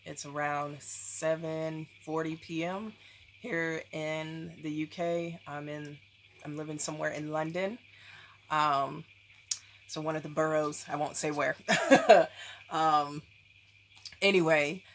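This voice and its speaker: A woman's voice: low-pitched, a bit bright, a little hiss or hum. A woman in her thirties talking casually on camera at home, rambling with lots of ums and likes. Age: 30s. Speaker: vlogger. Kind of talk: casual book review vlog.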